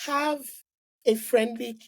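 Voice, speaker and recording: Animated Nigerian-born woman, higher voice. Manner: animated storyteller, quick restarts and "like" fillers. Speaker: podcast guest, engineer. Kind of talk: casual interview conversation.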